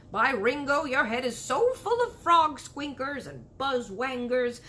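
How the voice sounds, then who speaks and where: A woman reader puts on a big, proud male giant from a storybook. He is excitable and easily indignant, swooping up and down as he stretches out his exclamations in muddled grammar. Some noise sits behind the voice. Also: fairly high pitch.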